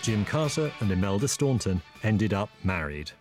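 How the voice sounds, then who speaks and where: Low-voiced man narrating a documentary. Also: very animated, music in the background. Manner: measured and informative. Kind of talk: scripted narration.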